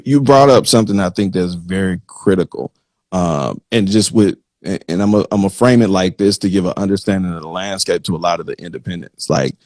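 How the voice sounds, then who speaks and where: A man hosting a live interview in a conversational way, haltingly circling back and repeating words as he frames his points. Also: lowish voice, a little mellow, dry recording. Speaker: interview host.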